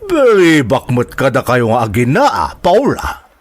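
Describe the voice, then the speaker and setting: A man's voice: medium pitch, huge pitch swings, measured pace. An actor with a Filipino accent playing a scornful, blunt male relative in a radio drama, speaking in a taunting way. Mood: needling. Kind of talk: Ilocano radio-style drama acting.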